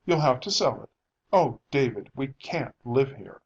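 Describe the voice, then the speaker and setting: A man's voice: medium pitch, expressive, a little slow, breathy edge, muffled recording, pitched above the performer's own voice. A man voicing an anxious, plaintive wife and mother who pleads tearfully in a dramatic audiobook reading. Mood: frightened, pleading.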